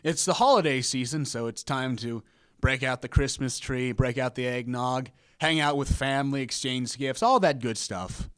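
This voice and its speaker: A man's voice: mid-range voice, normal speed, clear, resonant tone, no room sound. A man hosting a show, giving a casual, conversational introduction as a video DJ. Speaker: host, video DJ.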